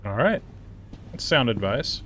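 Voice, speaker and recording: A man playing and reviewing a game, thinking aloud in casual, laid-back, slightly halting commentary. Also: mid-range voice, very animated, a bit bright, slight background noise.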